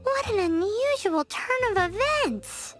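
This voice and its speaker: A woman voicing a small floating fairy companion girl who frets and exclaims, over loud game music. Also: very high voice, very animated, takes it slowly, full character voice. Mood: curious. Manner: worried, exclaiming, talks about herself in third person.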